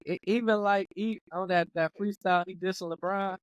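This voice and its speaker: Brief Male co-host, slightly high voice, dry close sound, animated with stammering restarts. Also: moderate pace, clean tone, no room sound, dull audio. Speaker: podcast co-host. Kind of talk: casual podcast chat.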